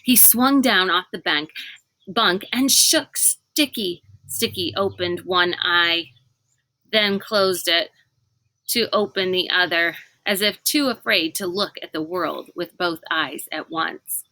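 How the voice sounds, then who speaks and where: A woman reads a children's novel aloud. Her voice is bright and mid-pitched, and she takes her time over the narration. The recording is dry, with hardly any room sound. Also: takes it slowly, dry recording, low-quality recording. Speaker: storybook reader.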